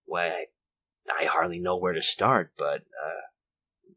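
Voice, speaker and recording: An actor playing an elderly, courteous and infirm inventor in a retro radio drama, speaking formally in a hesitant, rambling way. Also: male voice, low-pitched, steady tone, slow, bright, forceful tone, exaggerated voice. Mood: polite, hesitant. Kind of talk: retro 1940s radio drama acting, monologue.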